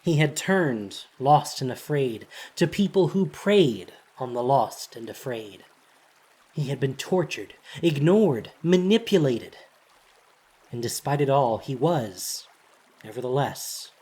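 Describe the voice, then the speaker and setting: A narrator with a husky, breathy voice reads a dramatic story in measured, solemn, deliberate phrases. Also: medium pitch, lively intonation, mellow.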